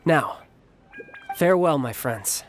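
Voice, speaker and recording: An actor playing a proud, easygoing young warrior and doting brother in a video game, smooth and polite. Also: male voice, a little high, animated, slow pace, soft background music. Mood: friendly.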